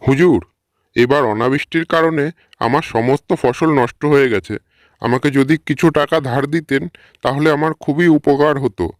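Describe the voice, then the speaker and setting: A man's voice: mid-range voice, normal speed, dry recording, studio quality, close to an everyday voice. A man voicing a humble, hard-pressed village farmer in a cartoon, plain and earnest. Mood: pleading.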